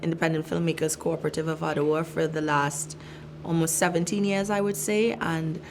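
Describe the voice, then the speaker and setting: A woman's voice: low-pitched, fairly even intonation, brisk, noisy background. A middle-aged woman from Trinidad and Tobago, a filmmaker reflecting on her work in a thoughtful interview with many fillers and restarts. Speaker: filmmaker, arts director.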